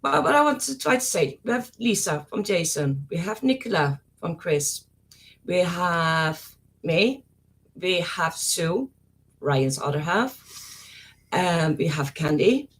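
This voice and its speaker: A woman's voice: fairly low pitch, expressive, slow pace. A woman from the Netherlands with a Dutch accent, co-hosting a casual live stream, teasing, giggly and outspoken. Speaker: co-host, behind-the-scenes organiser.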